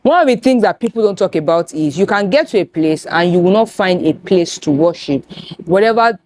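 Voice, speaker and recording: Lively Nigerian woman hosting a chat. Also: lowish voice, fairly quick, slight background noise. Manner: lively, animated, switching into Yoruba phrases. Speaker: host. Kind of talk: casual chat with friends.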